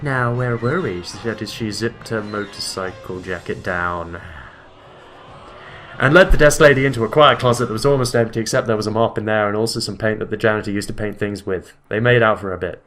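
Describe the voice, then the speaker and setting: A man giving a comedic dramatic reading of a bad fan fiction, with loud voiced quotes. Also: lowish voice, a little fast, faint music behind. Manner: reads deadpan, breaks into laughter, voices quotes loudly.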